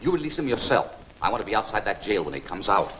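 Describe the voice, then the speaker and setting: A man's voice: on the low side, big pitch swings, fast, a crowd in the background, rough recording. A man playing a shrewd, commanding crime-fighter in a 1940s film serial, with a clipped delivery. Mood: decisive, intent. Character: shrewd, decisive crime-fighting investigator, adult male. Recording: old 1940s film soundtrack.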